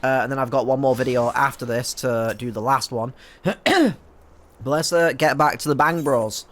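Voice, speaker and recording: A man's voice: mid-range voice, normal speed. A man with a stuffy nose giving rambling, jokey commentary as he plays a video game. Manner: rambling, jokey, self-mocking. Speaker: gaming video creator. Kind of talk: let's play commentary.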